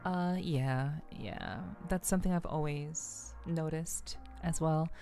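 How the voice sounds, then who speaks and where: A soft-spoken woman streamer chatting casually and unhurriedly with her live viewers in an ASMR style, rambling a little hesitantly. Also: deep voice, slow. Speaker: livestreamer, VTuber host. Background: faint music.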